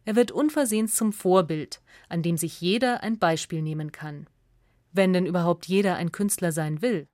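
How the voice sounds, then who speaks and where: A woman reads a scripted radio essay as narrator in a measured, articulate and factual way. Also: lowish voice, darkish tone.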